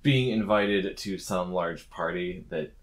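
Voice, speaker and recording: A man's voice: deep voice, takes it slowly. A man improvising narration as the game master of a tabletop game.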